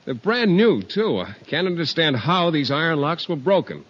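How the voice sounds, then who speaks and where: An actor playing a naive, shy biology teacher, earnest and hesitant, in a 1950s radio comedy. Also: male voice, fairly high pitch, big pitch swings, normal speed, a bit bright, slightly rough, lo-fi sound, heavily acted. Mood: guileless. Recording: vintage 1950 radio, faint noise.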